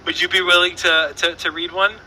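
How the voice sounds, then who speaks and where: A man's voice: high voice, flat delivery, normal speed, sharp tone, a little hiss or hum, low-quality recording. A man with a Southern US accent hosting a casual online trivia show, rambling and playful with lots of fillers and restarts. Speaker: trivia host.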